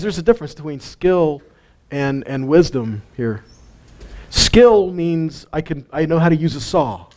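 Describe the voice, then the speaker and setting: A man's voice: on the high side, darkish tone. A middle-aged man teaches scripture in a folksy way, asking his listeners questions and chuckling often. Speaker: Bible teacher. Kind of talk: casual Bible teaching.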